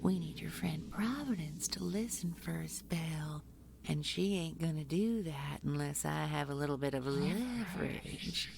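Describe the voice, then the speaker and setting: A woman playing a seductive, sinister nightmare villain in a horror audio roleplay, speaking in a breathy whisper with mocking menace. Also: low voice, measured pace, noisy background, full character voice. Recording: music behind the voice. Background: music.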